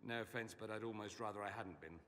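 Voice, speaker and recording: A man's voice: lowish voice, fast, fairly bright tone, close to an everyday voice. A man playing a witty, haughty, cultured mage scholar in a video game, with a theatrical, sardonic and articulate delivery. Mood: serious, wry. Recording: long echoing hall reverb.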